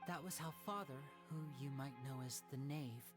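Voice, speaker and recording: An actor playing a sincere young male magician in a video game, soft-spoken, earnest and polite. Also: mid-range voice, moderate pace, close to an everyday voice. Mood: earnest, apologetic. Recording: game music clearly behind.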